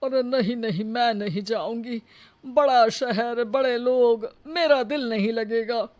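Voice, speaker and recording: A woman's voice: a little high, slow, darkish tone. A woman voicing a simple, proud middle-aged mother-in-law in a cartoon moral story, homely, plain-spoken and emphatic. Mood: reluctant.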